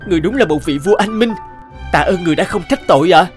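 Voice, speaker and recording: A man's voice: high voice, animated, fast, over music. An actor playing an earnest, kindhearted physician in a cartoon, deferential and eager before his king. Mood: grateful, pleading. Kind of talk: cartoon voice acting.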